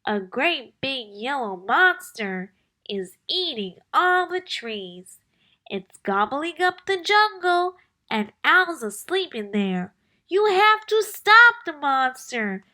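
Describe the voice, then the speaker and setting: A woman puts on a flustered storybook goose, honking and pleading. His voice rides high and he stretches his words out slowly in alarm. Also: sharp tone, heavily acted. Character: panicky, excitable male goose begging for help.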